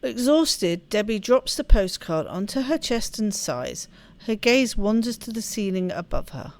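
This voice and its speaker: A woman's voice: medium pitch, expressive, a little slow, darkish tone. A woman hosting a gaming video, chatting casually and reading story text aloud with expression. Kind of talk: let's play chat and expressive read-aloud narration.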